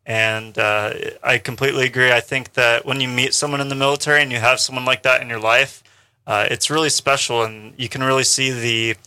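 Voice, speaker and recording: A man who is a guest on a radio panel, speaking in a measured way with frequent fillers. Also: mid-range voice, fairly even intonation, pushed and bright, smooth. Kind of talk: radio interview.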